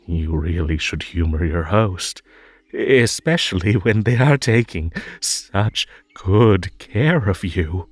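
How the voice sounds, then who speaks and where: A man playing a possessive magical tea-party host in an audio roleplay, over-polite and halting, with a menacing, unhinged edge under the sweetness. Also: sits low, expressive, no echo, soft background music, exaggerated voice. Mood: playful, unsettling. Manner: halting, drawn-out, over-polite, swinging between coaxing and stern. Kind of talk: scripted roleplay audio drama.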